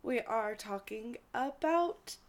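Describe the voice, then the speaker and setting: A woman's voice: a little high, lively intonation, slow pace. A young woman co-hosting a podcast, tired and playful in casual chat. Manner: laughing, playful. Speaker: podcast co-host.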